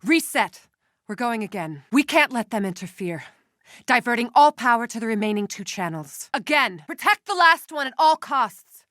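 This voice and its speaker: A woman's voice: fairly high pitch, lively intonation, moderate pace, fairly bright tone, no room sound, studio quality. An actress playing a cold, calculating female villain scientist in a video game, speaking with clipped, precise authority. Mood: urgent, commanding. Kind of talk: dramatic video game voice acting.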